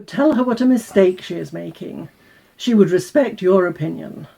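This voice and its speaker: A woman's voice: fairly low pitch, lively intonation, moderate pace, vocal fry, mellow, breathy edge, full character voice, low-quality recording. A woman playing a prim, disapproving, class-conscious older aunt in a dramatized novel reading.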